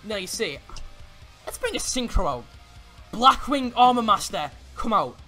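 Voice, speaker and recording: A young man with an excitable, giggly way of talking and stumbling over words, playing a card game in a casual roleplay. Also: high voice, a little slow, soft background music. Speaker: player in a card duel roleplay. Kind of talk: casual in-character gameplay commentary.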